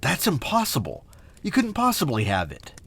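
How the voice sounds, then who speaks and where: An actor playing an earnest, principled young scientist hero with melodramatic delivery in an audio drama. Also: male voice, on the high side, wildly swooping pitch, moderate pace, creaky, fairly bright tone, breathy edge, heavily acted. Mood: wary.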